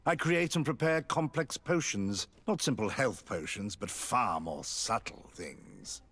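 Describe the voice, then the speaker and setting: An actor playing a disgruntled, overworked alchemist in a video game, complaining in a sardonic way. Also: male voice, on the low side, expressive, measured pace, a bit bright, breathy edge, full character voice. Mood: bitter, aggrieved.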